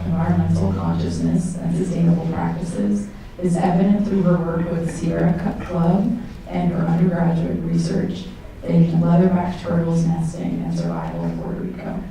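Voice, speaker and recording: A woman's voice: fairly low pitch, gravelly, dark tone, a little hiss or hum. A woman reading a formal introduction of a guest speaker, recorded from far off in an echoey hall. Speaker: event host.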